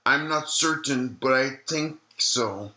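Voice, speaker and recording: Man playing elderly male doctor, haunted, audio-log drama, slow deliberate pacing, sharp bright tone, reflective storytelling. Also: medium pitch, rough recording. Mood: somber recollection. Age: older. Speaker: retired obstetrician being interviewed.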